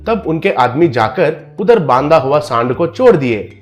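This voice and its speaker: A man's voice: a little high, sing-song, unhurried, faint music behind. A man narrating a scripted comic moral story.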